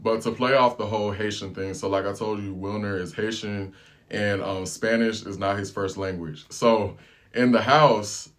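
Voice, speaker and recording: An American man vlogging, telling a relaxed, slangy travel story in casual chat. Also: lowish voice, moderate pace. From United States. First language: English.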